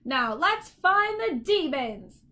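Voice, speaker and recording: A woman's voice: very high voice, big pitch swings, slow, bright, forceful tone, pitched above the performer's own voice, heavily acted. A woman voicing a blustering, cowardly male bug in a storybook, with a fierce manner.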